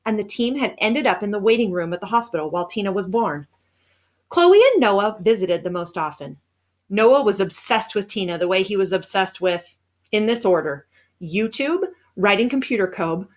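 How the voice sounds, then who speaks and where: Female read-aloud narrator for a children's novel; mid-pitched, expressive storyteller delivering scripted first-person prose. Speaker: storybook reader.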